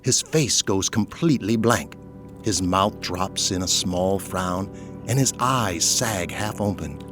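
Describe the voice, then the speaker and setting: Low-voiced male narrator over music. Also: slow pace. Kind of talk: scripted audio drama narration.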